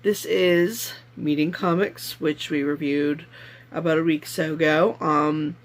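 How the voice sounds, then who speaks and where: A man with a slightly hoarse voice hosting his vlog in a rambling, casual way, with many fillers. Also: on the high side, fairly even intonation, measured pace. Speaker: vlog host.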